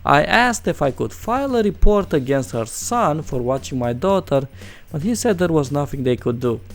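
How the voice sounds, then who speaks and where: A man's voice: on the high side, animated, moderate pace. A man who is not a native English speaker, narrating online stories as a host and adding casual, incredulous asides. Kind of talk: story narration with casual reactions.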